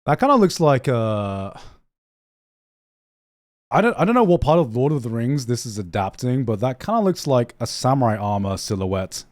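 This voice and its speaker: A man's voice: mid-range voice, sing-song, moderate pace. A young man casually reacting to an anime trailer on a live stream, swearing freely, laughing often and repeating himself as he thinks aloud. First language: not Japanese.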